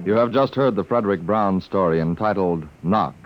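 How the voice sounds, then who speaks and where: A man's voice: deep voice, normal speed. A man announcing scripted radio credits in a formal, dramatic 1950s broadcast style. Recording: dull vintage radio broadcast recording. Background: loud music.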